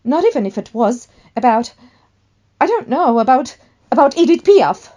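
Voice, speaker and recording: Woman acting a volatile, vainglorious actress, swooping pitch. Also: big pitch swings, moderate pace, mellow. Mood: furious. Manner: melodramatic, haughty, swinging from gushing to scolding. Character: vainglorious, hot-tempered famous actress, a theatrical diva. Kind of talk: one-sided radio play dialogue.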